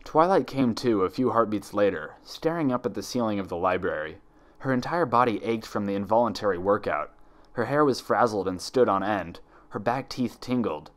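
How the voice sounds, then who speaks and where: A man's voice: on the low side, expressive, moderate pace. A man reading a story aloud as narrator in a dramatic scripted style. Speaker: fan fiction narrator.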